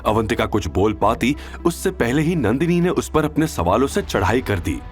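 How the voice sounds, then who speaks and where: A man's voice: mid-range voice, sing-song, moderate pace, soft background music. A man narrating a scripted audio drama in a dramatic storytelling style.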